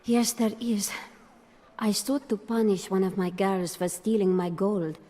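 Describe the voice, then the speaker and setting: A woman acting as a calculating brothel madam in a fantasy game. Her voice is mid-pitched, haughty and theatrical, ringing with long reverb. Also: fairly even intonation, a little slow, warm tone, close to an everyday voice. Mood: regretful, haughty. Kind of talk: video game dialogue.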